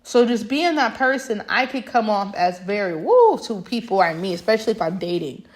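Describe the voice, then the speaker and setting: Young woman vlogging, passionate and emphatic, middle pitch, keeps restarting sentences. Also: very animated, moderate pace. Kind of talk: reflective monologue to camera.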